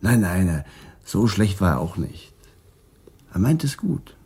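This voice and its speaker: A middle-aged actor playing a calm, reflective traffic policeman under questioning in a German radio crime drama, speaking in a measured, guarded way. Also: deep voice, husky, exaggerated voice. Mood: thoughtful.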